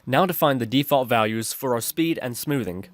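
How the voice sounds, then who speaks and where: A man's voice: medium pitch, moderate pace, no echo. A man narrating a step-by-step screencast tutorial, explaining his reasoning as he goes. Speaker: programming tutorial host.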